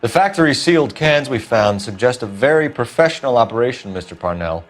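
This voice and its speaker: A methodical, stern detective from the 1940s pressing a suspect in clipped lines, played by an actor. His pitch moves a lot as he pushes. A faint music score sits behind him. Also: expressive, fairly quick, natural voice. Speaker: police detective.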